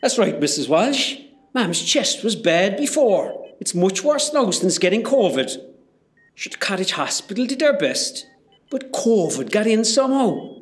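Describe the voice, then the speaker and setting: Man acting a high-voiced, bantering Cork porter. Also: very animated, measured pace. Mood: earnest. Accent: West Cork Irish. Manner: bantering, chatty. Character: teasing, easygoing forty-year-old male hospital porter. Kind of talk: amateur comedy radio play acting.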